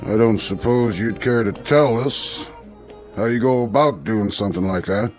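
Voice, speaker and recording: An actor playing a folksy, self-important Old West sheriff with an American Western drawl in an audio drama. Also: male voice, fairly low pitch, normal speed, darkish tone, soft background music, natural voice. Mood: puzzled, probing. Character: folksy, slow-witted Old West sheriff, proud of his reasoning.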